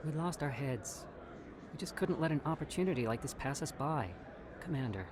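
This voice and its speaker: An actor voicing an eager, evasive alien scientist in a video game, with a persuasive, self-justifying manner. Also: male voice, fairly high pitch, lively intonation, normal speed, a crowd in the background, natural voice. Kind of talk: video game character acting.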